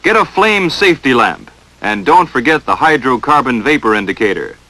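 A man's voice: mid-range voice, big pitch swings, normal speed, pushed and bright, no room sound, rough recording. An American man narrating a 1940s instructional film in a stern, emphatic, dramatic coaching manner, on a vintage film soundtrack.

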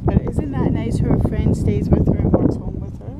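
An older woman reads a picture book aloud to children in an expressive, encouraging way, adding her own asides. Her voice sits at a medium pitch. She is recorded outdoors with loud wind and ambient noise around her. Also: normal speed. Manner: expressive, encouraging, speaking to young viewers. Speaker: storytime host. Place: outdoors under trees by a river.

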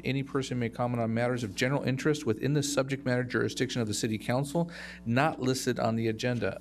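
A middle-aged American man chairing a council meeting in a formal, businesslike way, partly reading aloud. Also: mid-range voice. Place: council chamber. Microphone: dais microphone. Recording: loud hum and noise.

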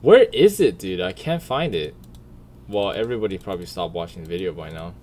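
Male streamer, lowish voice, very lively intonation, blunt sweary slang, casual reactions, faint background noise. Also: brisk. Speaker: streamer and video creator.

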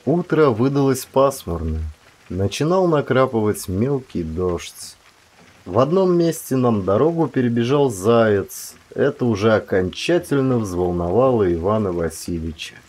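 A man's voice: medium pitch, big pitch swings, a little slow, darkish tone. A man reading aloud in the scripted manner of an audiobook narrator. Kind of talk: dramatic scripted literary narration.

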